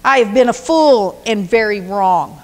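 A woman voicing an older male king who speaks quietly and contritely. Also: mid-range voice, lively intonation, a little slow, long reverb tail, lightly acted. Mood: remorseful.

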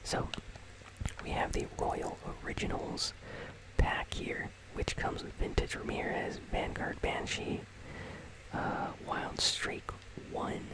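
A host whispering casual, conversational ASMR commentary on a video game in a breathy, unvoiced voice, close to the microphone. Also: rough recording. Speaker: host of a gaming news channel.

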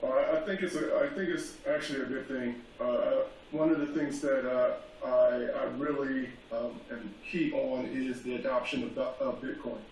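A man's voice: medium pitch, fairly even intonation, normal speed, hardly any pauses, darkish tone. A man speaking as a guest on a panel, hesitant, with many fillers and restarts. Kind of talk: casual panel talk. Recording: echoey remote call, faint noise, far from mic.

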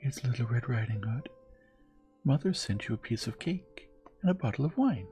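A man voicing a frightened little girl in a fairy tale, speaking high and in breathy whispers. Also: lively intonation, normal speed, mellow, soft background music, natural voice.

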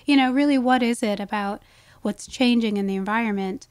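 Woman, higher-pitched voice, podcast guest expert, conversational explaining, frequent asides, very dry sound. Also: a little fast, clear, resonant tone, no echo. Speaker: guest wildlife ecologist.

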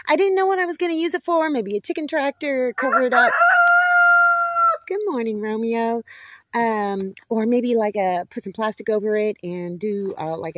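A middle-aged woman chatting casually as she films her homestead outdoors, folksy and talking to her chickens now and then. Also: on the high side. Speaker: homesteading vlogger. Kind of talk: casual vlog chat. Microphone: handheld camera or phone at arm's length.

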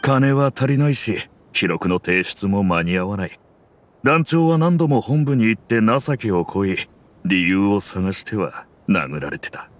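Bitter mercenary veteran. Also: male voice, lowish voice, sing-song, a little slow. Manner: earnest, bitter recounting. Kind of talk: Japanese game dub.

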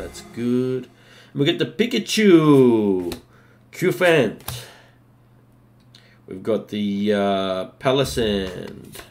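A man, hosting a casual vlog where he opens trading card packs. His voice is slightly husky from a recent bug, and he talks in an upbeat, chatty way. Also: on the low side, a little mellow. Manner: upbeat, hype-building, chatty. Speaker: card-opening YouTuber host. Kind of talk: casual pack-opening vlog. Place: home room with colored lights. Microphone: studio mic on a desk arm.

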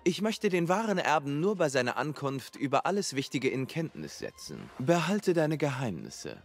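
A man's voice: fairly high pitch, sing-song, moderate pace. A man playing a haughty, scheming veteran court minister in a fantasy game, condescending and pompous. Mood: indignant, condescending. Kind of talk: theatrical fantasy game dialogue.

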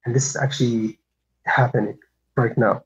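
A man whose first language is Arabic, calling in and speaking hesitantly with many pauses and restarts. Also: medium pitch, fairly even intonation, dull audio, slight background noise. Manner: halting, many fillers and restarts. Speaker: caller. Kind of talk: casual call-in chat.

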